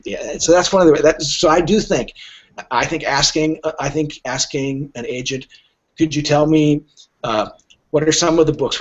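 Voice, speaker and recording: Man talking with wide-swinging, animated intonation. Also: mid-range voice, husky. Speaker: podcast guest, literary agent. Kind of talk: casual conversational interview. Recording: dull lo-fi audio.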